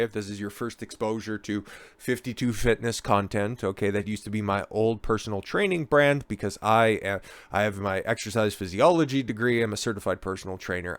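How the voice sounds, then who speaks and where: A man hosting his own channel, a bit hoarse and raspy from being under the weather, talking in a rambling casual monologue that keeps ending on "okay?". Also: lowish voice, dry recording. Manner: rambling, tags sentences with "okay?". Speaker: channel host.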